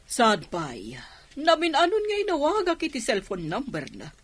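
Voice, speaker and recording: A woman playing an anxious wife and mother in an Ilocano radio drama, hesitant and husky. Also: high voice, huge pitch swings, moderate pace, heavily acted. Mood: worried. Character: anxious wife and mother answering her husband. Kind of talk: Filipino radio drama acting.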